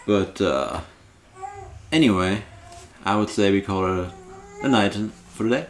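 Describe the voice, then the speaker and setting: A man co-hosting a casual podcast, talking hesitantly with many pauses and fillers. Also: lowish voice, a little hiss or hum. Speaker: podcast co-host.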